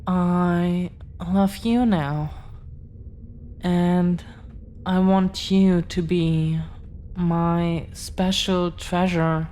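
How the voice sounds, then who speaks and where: A woman playing a tender, devoted dragon-descended girlfriend in an intimate ASMR roleplay, speaking earnestly and haltingly with pauses. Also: on the low side, steady tone, dark tone. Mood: tender, adoring.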